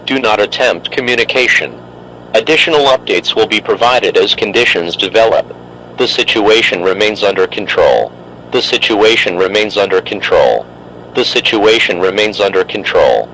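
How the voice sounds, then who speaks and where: A man reads a formal scripted emergency broadcast as an official announcer, in a flat, repetitive manner. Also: fairly low pitch, normal speed, slight background noise, poor audio.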